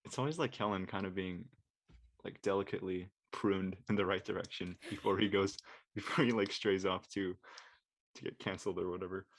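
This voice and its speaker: A man's voice: deep voice, fairly even intonation, a little fast. A man talking casually as a panelist in a group discussion. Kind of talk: casual chat.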